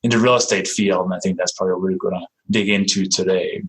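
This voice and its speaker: A man in his forties from Denmark with a Danish accent, speaking as a podcast guest in a relaxed, halting way with many fillers and restarts. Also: low voice, slightly rough, poor audio. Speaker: guest, real estate investor. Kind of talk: casual interview talk.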